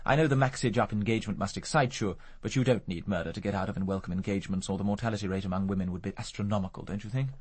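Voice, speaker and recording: An actor playing an urbane, evasive murder suspect in a radio drama, with an English accent and a dry, articulate, flippant manner. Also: male voice, lowish voice, very fast, hardly any pauses, husky. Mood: sardonic. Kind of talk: BBC radio drama acting.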